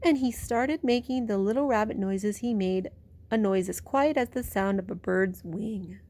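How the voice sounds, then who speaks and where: A woman's voice: mid-range voice, moderate pace, darkish tone. A woman with a mid-range voice reads a picture book aloud for young children. She tells it expressively, stretching out phrases in a bedtime-story style.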